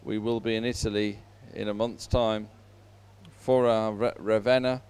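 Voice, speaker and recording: Guy doing live sports commentary between races, clean smooth voice, lots of pauses, kinda halting delivery. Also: lowish voice, level tone, a little mellow. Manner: hesitant, halting phrasing with frequent pauses.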